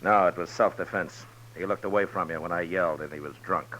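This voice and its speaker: An actor playing a terse, commanding U.S. marshal in an old-time radio western. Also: male voice, sits low, a little fast, a bit bright, a little hiss or hum. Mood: matter-of-fact. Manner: terse, authoritative. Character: stern, commanding frontier U.S. marshal. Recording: dull vintage radio recording.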